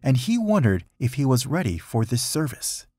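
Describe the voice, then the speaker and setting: Husky, animated man reading a storybook. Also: medium pitch, moderate pace, dry recording, soft background music. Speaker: storybook reader. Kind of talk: children's picture book read-aloud, rhyming verse.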